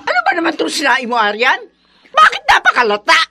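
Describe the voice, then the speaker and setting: A woman's voice: high-pitched, huge pitch swings, fast talker, music in the background. An actress playing a domineering, scornful middle-aged mother-in-law with a Filipino accent, bossy and sarcastic, in a radio drama. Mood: irritated.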